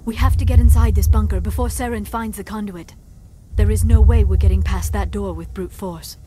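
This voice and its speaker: A woman's voice: medium pitch, fairly even intonation, fairly quick, over music, close to an everyday voice. A woman acting as an earnest young female alien scientist in a video game, speaking in formal, careful phrases. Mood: urgent, resolute.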